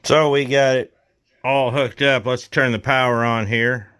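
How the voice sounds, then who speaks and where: A man's voice: medium pitch, fairly even intonation, unhurried, fairly bright tone, clear, resonant tone. A man hosting a hands-on repair video in a casual, folksy, jokey way with colloquial wording. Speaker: host of a CB radio repair video.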